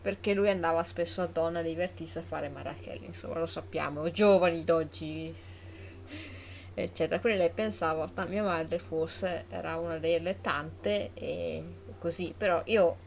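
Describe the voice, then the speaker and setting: Lowish-pitched chatty Italian woman streaming. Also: steady tone, moderate pace, vocal fry, slight background noise. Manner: chatty, reactive. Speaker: game streamer. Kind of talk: casual live commentary on a game. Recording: game music behind the voice.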